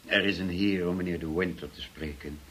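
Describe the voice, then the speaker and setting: An actor playing a deferential butler of a grand house in a radio drama, formal and servile. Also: male voice, sits low, steady tone, a little fast, fairly bright tone.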